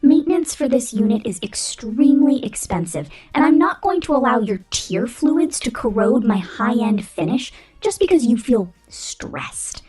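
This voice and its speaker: A woman voicing a vain, domineering female robot corporate boss who scorns humans, in a condescending, menacing ASMR roleplay with a whispery, deliberate delivery. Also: fairly high pitch, big pitch swings, warm tone, soft background music, poor audio. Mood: cold, menacing. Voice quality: breathy, whispery. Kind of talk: ASMR roleplay monologue, scripted.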